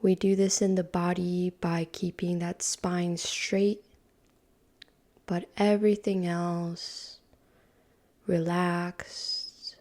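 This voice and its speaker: Woman doing a guided meditation, super slow, low mellow voice, soft n breathy, kinda whispery at the ends. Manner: calm, unhurried, long pauses.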